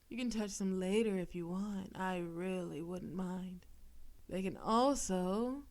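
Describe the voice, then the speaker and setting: Slow, possessive demon girlfriend, voiced by a woman. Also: medium pitch. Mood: teasing. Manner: slow, teasing, softly commanding, lapsing into breathy whispers. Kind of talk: intimate audio roleplay addressed to the listener.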